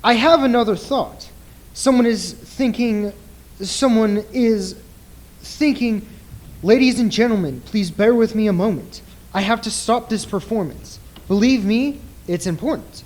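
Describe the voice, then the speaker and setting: A man playing a commanding stage mind reader in a radio play, theatrical, deliberate and insistent. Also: sits high, a little mellow. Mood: showy.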